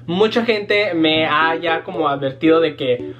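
A young Mexican man with a somewhat high voice vlogs from a small bedroom. He talks in a lively, slangy way and gestures a lot. Faint guitar music plays underneath. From Mexico, Jalisco. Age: young adult. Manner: animated, lots of hand gestures, slangy, puts on quick voices for quoted people. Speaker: vlogger. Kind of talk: casual chat to camera. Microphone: fixed camera at desk.

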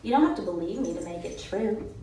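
A woman's voice: medium pitch, animated, brisk, dark tone, voice pushed down, natural voice. A woman voicing a bossy, sassy talking mirror in a comic short story read live. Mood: sassy encouragement. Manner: sassy, pushy, chanting. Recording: roomy, distant, noisy live-event recording.

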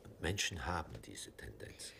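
A trusting monk with a very deep voice in a dubbed film. He tells his story calmly and reflectively, and his pitch rises and falls in a lively way. He never sounds guarded. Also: a little slow, gravelly, in a whisper, full character voice. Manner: calm, reflective, unguarded. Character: gentle, trusting male Carthusian monk. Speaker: narrator recounting his journey.

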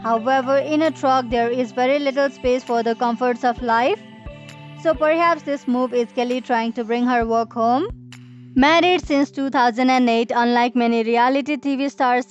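Woman voice-over narrator, slightly high, even matter-of-fact delivery, lo-fi. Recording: dull lo-fi voice-over with faint music.

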